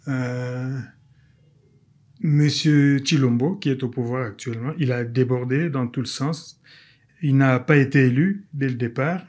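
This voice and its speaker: A man's voice: mid-range voice, unhurried, a little mellow. Congolese man being interviewed, firm, stops and restarts a lot. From Democratic Republic of the Congo. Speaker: guest. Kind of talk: interview answers.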